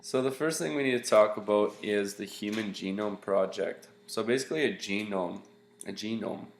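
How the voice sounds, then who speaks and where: A man's voice: lowish voice, normal speed, roomy sound, a little hiss or hum. A congested, nasal-sounding man teaching a science lesson as a casual screencast, rambling with restarts and fillers.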